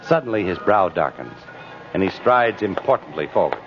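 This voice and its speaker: Male 1950s radio announcer-narrator, formal and dramatic, lively intonation, dull old recording over music. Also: sits low, normal speed.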